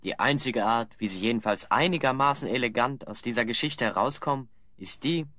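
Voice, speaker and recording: Man playing measured, persuasive hero, dry recording. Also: mid-range voice, bright, forceful tone, dry recording, natural voice. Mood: calm, persuasive. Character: clever, composed hero calmly negotiating. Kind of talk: German audio drama acting.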